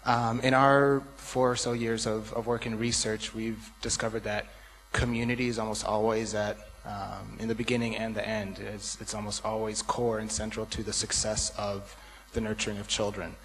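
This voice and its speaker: A man's voice: on the low side, little pitch movement, normal speed, hardly any pauses, a bit bright. A man from the audience politely asking the panel a question, with hesitant fillers. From United States. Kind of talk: question from the floor. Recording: reverberant hall with faint hum.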